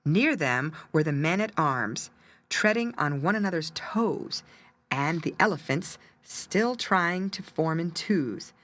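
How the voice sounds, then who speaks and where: A woman's voice: low-pitched, expressive, takes it slowly, fairly bright tone. A woman narrating a children's story expressively, with dramatic emphasis.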